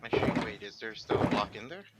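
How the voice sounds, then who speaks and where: A man's voice: on the low side, expressive, quick pace, heavy background noise. A man chatting while he builds in a game, counting blocks and talking to himself. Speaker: streamer building on the server. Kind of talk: casual chat while gaming.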